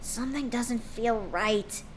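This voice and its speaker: A woman voicing a brash, tomboyish female pegasus pony who speaks up loudly. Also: on the high side, slow pace, a little hiss or hum. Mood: grumbling. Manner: brash and loud.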